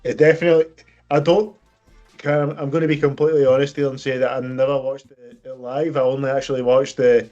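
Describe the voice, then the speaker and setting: Male podcast co-host, mid-pitched, halting delivery, muffled lo-fi recording. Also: little pitch movement, mellow, soft background music. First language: English. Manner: halting, repeats words as he builds a point. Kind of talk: casual football podcast chat.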